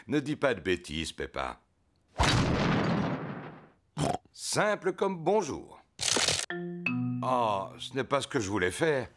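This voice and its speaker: A man's voice: mid-range voice, very animated, unhurried. A man voicing a cheerful, overconfident, bumbling father pig in a French children's cartoon, boastful and jovial. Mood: breezy. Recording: music behind the voice.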